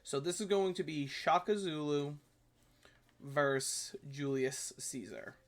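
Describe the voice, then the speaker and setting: A man's voice: on the high side, slow pace. A man with a New York accent hosting a history review channel, talking casually and correcting himself as he goes. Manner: rambling, self-correcting, apologetic asides. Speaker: history video host. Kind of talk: casual commentary reviewing a video.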